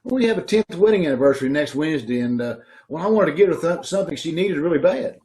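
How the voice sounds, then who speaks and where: Man doing a cheerful moocher brother-in-law, high bouncy voice, chuckling, wheedling, old-time radio comedy. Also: lively intonation, fast, warm tone, natural voice. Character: breezy freeloading middle-aged brother-in-law. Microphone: video call.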